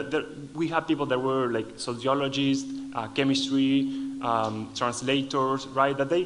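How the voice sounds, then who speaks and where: Argentinian guy talking at a conference, spanish is his first language, stops and restarts a lot, mid pitch. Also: a bit bright, poor audio. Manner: halting, restarts phrases often. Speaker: conference speaker. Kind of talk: lightning talk.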